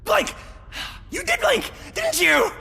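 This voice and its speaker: A man acting a gleeful, taunting, unhinged creep in a video game, excited and mocking. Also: very high-pitched, slow pace, gravelly, shouting, long reverb tail, exaggerated voice.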